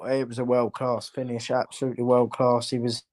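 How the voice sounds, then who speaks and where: A man's voice: medium pitch, completely flat delivery, fairly quick, a little mellow. A man giving blunt, opinionated football analysis as a panellist in casual chat.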